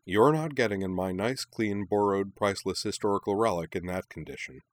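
A man voicing a calm, wry young man in an audiobook, unhurried and dryly amused. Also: lowish voice, dry recording, close to an everyday voice. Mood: wry, firm.

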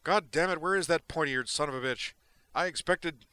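A man voicing a loud, frantic gonzo doctor. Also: a little high, normal speed, smooth, voice pushed up. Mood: exasperated. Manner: shouting, cursing, agitated. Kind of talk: audiobook character voice.

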